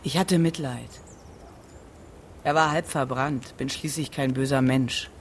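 Defiant, sharp-tongued woman, background noise. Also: very deep voice, fairly even intonation, measured pace. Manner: curt, sarcastic, evasive. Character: defiant, brusque, sharp-tongued married woman under questioning. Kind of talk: German radio play acting.

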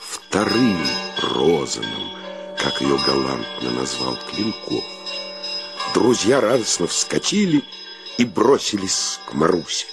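An actor narrating a comic literary tale as a wryly solemn storyteller in a radio play. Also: male voice, very deep voice, very animated, takes it slowly, creaky, over music, full character voice.

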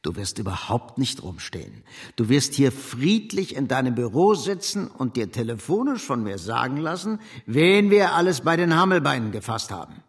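An actor playing a hard, boastful political commander in a German radio drama, clipped, assured and emphatic. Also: male voice, mid-range voice, sing-song, normal speed, husky. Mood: boastful, confident.

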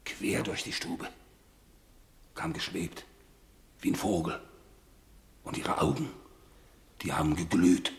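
A man's voice: low voice, expressive, takes it slowly, creaky, pauses often, heavily acted. An actor playing a boastful, self-important man telling ghost tales dramatically in a 1950s German radio play.

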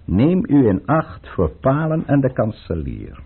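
An actor playing a suave, calculating count in a radio play, speaking in a courtly, ironic and polished way. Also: male voice, mid-range voice, huge pitch swings, measured pace, creaky, slightly rough, full character voice. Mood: mocking, composed. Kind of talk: theatrical radio play acting. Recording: muffled, narrowband old radio play recording.

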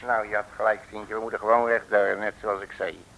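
A man's voice: fairly low pitch, flat delivery, a little fast, gravelly, over loud music, rough recording. A man playing a brash, cocky, boastful detective in a 1950s radio serial, bossy and full of colourful folksy slang. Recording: old radio recording.

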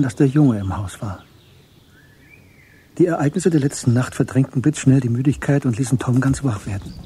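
A man's voice: mid-range voice, natural voice. A man reading scripted literary narration as the narrator of a German radio drama. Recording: music behind the voice.

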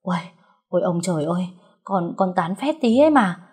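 Brash young female fortune-teller played by a woman narrator: slangy, boastful bluffer, slightly husky, lowish voice, audiobook story. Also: lively intonation, brisk, darkish tone. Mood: scared, wheedling. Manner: boastful, colloquial, wheedling.

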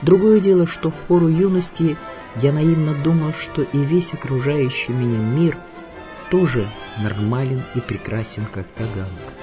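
A man's voice: on the high side, moderate pace. Male documentary narrator over music. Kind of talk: scripted documentary narration of an audio biography.

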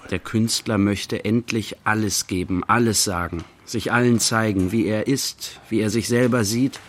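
An actor playing the narrator of a literary radio play, telling an artist's day in measured, short phrases. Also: male voice, fairly low pitch, fairly even intonation. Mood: measured narrating. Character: narrator recounting an artist's day in present tense.

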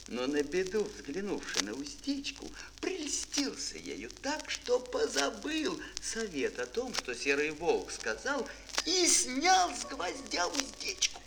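Мужчина театрально и декламационно рассказывает детскую сказку как рассказчик аудиоспектакля. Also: male voice, sits high, wildly swooping pitch, slow, creaky. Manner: theatrical, declamatory. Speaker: narrator. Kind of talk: dramatic fairy-tale narration for children. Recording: old mono record, faint noise.

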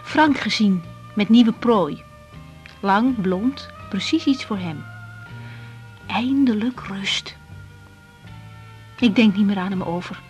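A woman's voice: mid-range voice, expressive, slow, long pauses, darkish tone, faint music behind. An actress with a Netherlands Dutch accent playing an insecure, outspoken young woman doctor in a radio drama. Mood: tender, unsure. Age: young adult. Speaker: lead actress. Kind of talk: 1980s radio drama acting.

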